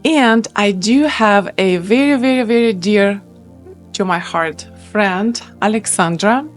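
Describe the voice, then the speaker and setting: A woman's voice: medium pitch, slow pace, soft background music. A woman hosting a casual interview, enthusiastic and repeating words for emphasis. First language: Russian. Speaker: host.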